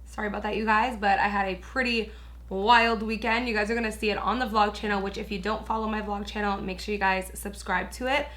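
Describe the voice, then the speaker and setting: A young woman with a bright, forward tone roughened by hoarseness talks casually through a beauty tutorial. She gushes and chats freely, filling her sentences with little asides to her viewers. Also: medium pitch, fairly even intonation, brisk. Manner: gushing, chatty, lots of "like" and "you guys". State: raspy, hoarse voice. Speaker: beauty vlogger. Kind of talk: casual makeup tutorial chat.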